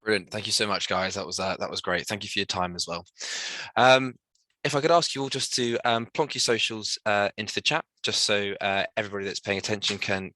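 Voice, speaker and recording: A man hosting an online student election panel on a video call, upbeat and full of quick praise, with many fillers. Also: deep voice, pushed and bright, no echo. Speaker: hustings host.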